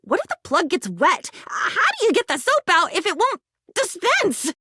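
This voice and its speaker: A woman voicing an excitable, naive living diary in a video game, chatty and rambling, eager for friendship. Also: extremely high pitch, moderate pace, dry recording, clean studio sound, heavily acted. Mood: chatty, curious. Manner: rambling, gushing, expressive, drifting off on tangents. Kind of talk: animated game character dialogue.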